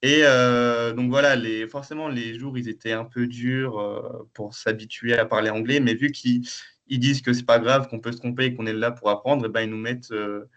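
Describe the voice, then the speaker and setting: A man's voice: mid-range voice, steady tone, moderate pace, a bit bright. A young man describing his time abroad in a webinar, hesitant and full of fillers. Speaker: apprentice sharing an exchange experience. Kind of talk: webinar testimony. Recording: muffled video call audio.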